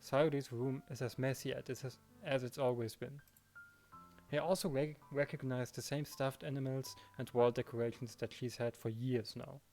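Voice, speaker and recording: A man's voice: medium pitch, fairly even intonation, normal speed, darkish tone. Man narrating a visual novel over music. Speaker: Let's Play narrator reading the protagonist's lines. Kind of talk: dramatized first-person narration of a visual novel. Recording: music behind the voice.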